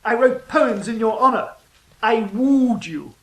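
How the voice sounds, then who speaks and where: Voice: mid-range voice, lively intonation, unhurried, fairly bright tone. Royal poet, played by an actor: flowery, flustered schemer with a theatrical delivery, comic radio play, roomy sound. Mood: pleading, flustered.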